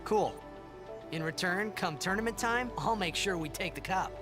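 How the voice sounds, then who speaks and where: A man's voice: high voice, moderate pace, natural voice. A man voicing a cocky, eager teenage sports star in a video game, brash and emphatic. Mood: curious, eager. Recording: game audio with music over it.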